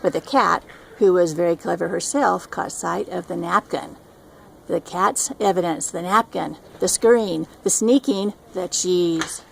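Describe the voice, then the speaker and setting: An older woman with a creaky voice reading a children's storybook aloud. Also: lowish voice, measured pace, slight background noise. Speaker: storybook reader, grandmother. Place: outdoors at a scenic lake.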